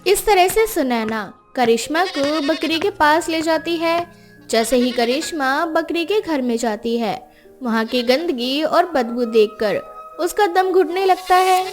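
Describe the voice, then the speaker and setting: Casting: female narrator for moral tales, high-pitched, scripted storytelling delivery over faint music. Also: lively intonation, measured pace.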